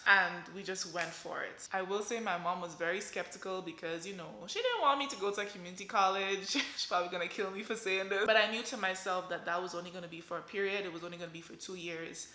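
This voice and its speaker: A woman's voice: fairly low pitch, pushed and bright. A woman in her twenties from Guyana with a Guyanese accent, chatting animatedly to her viewers in a casual vlog. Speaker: vlogger. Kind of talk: casual chat to camera. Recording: echoey room.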